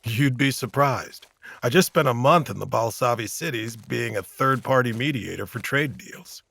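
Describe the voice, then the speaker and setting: An actor playing a weary, kind monster-slaying hero in an audio drama, wry, candid and gently reassuring. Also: male voice, mid-range voice, moderate pace, creaky, slightly rough, no room sound.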